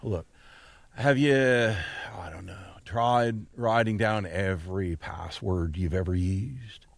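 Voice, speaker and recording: A man playing an exasperated support agent in a comedy phone sketch, sarcastic and grudging, with halting delivery. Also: sits low, creaky, dry recording, heavily acted.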